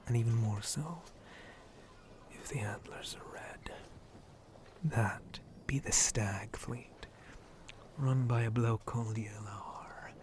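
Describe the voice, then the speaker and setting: A man playing a flirty, roguish shark-monster pirate in an ASMR roleplay, breathy and often whispering, with a teasing, rustic pirate way of talking. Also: medium pitch, slow, long pauses, close to an everyday voice. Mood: instructive, wary. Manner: rustic pirate dialect, hesitant drawl, teasing.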